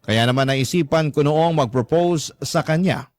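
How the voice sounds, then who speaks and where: A man's voice: a little high, a little slow, no room sound. A man with a Filipino accent narrating a scripted first-person story for a radio drama.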